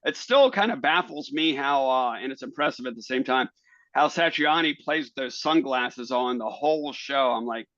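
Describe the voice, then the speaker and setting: A man's voice: medium pitch, expressive, a bit bright, no echo, dull audio. A man who works as an educator, co-hosting a podcast and chatting casually, often restarting his sentences. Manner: halting, restarts phrases. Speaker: teacher, podcast co-host. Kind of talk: casual chat.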